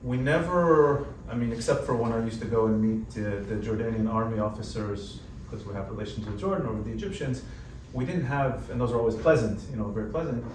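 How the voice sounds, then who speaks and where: A man who grew up in the United States, speaking on a panel in a relaxed, conversational way with lots of "you know" fillers. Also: medium pitch, a little fast. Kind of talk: panel discussion. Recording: roomy hall, distant mic, background noise.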